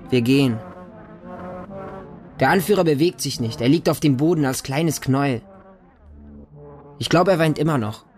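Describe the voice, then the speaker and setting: A man's voice: fairly high pitch, lightly acted. A man playing a watchful, trusting homeless boy in a radio drama monologue, speaking in simple, childlike short sentences. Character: homeless street boy living with a pack of dogs, watchful, trusting, defiant.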